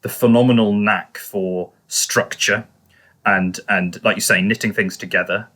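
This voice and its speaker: A man's voice: lowish voice, fairly even intonation, measured pace, sharp tone. A man hosting a casual review podcast, talking discursively and qualifying his points as he goes. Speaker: podcast host.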